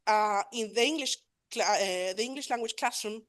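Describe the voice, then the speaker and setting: A woman's voice: on the high side, moderate pace. A woman from Argentina, giving an academic conference talk in English, hesitant, with many fillers and self-corrections. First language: Spanish. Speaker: conference presenter. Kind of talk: academic presentation.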